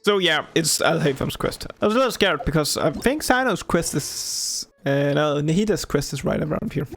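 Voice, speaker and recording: A man chats casually over his own gameplay. His voice is a little higher than average and thick with creaky fry throughout. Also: expressive, moderate pace, fairly bright tone, soft background music. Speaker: gameplay commentator. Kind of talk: casual let's-play commentary.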